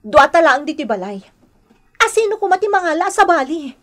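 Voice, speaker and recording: High-pitched, distraught battered young wife. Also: female voice, very animated, brisk, a bit bright, full character voice. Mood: accusing, hurt. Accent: Filipino. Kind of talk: Ilocano radio drama acting.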